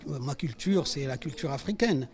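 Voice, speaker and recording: A man's voice: medium pitch, expressive, normal speed, darkish tone. A man who illustrates comic books, speaking hesitantly in an interview.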